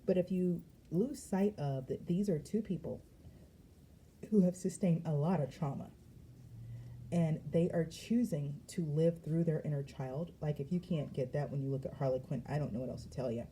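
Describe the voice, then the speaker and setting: Low mellow lady doing a chatty tarot vlog, slangy and emphatic, faint hiss behind. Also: moderate pace. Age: adult. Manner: lively, slangy and emphatic, with frequent laughs. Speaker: tarot reader. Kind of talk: casual spiritual reading vlog.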